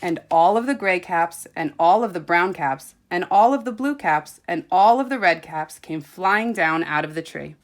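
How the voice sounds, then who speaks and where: A woman's voice: lowish voice, lively intonation, normal speed, hardly any pauses. Woman reading a children's storybook expressively. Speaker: teacher reading to pupils. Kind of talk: children's storybook read-aloud.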